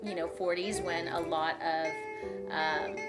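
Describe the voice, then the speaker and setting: A woman's voice: on the low side, fairly even intonation, a little slow, over loud music, low-quality recording. Bubbly young woman explaining a recipe. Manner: bubbly, explains food, laughs a lot. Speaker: guest. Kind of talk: casual chat.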